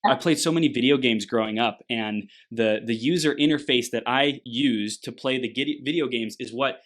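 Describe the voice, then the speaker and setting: A man's voice: medium pitch, moderate pace, fairly bright tone. A man hosting an interview podcast, enthusiastic and affirming as he asks his guest questions. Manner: enthusiastic, affirming, frequent backchannels. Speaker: podcast host.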